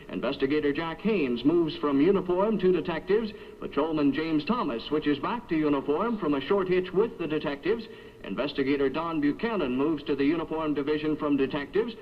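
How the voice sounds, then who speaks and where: A man reading a scripted 1970s radio newscast as the anchor. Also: on the high side. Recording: dull vintage AM radio aircheck.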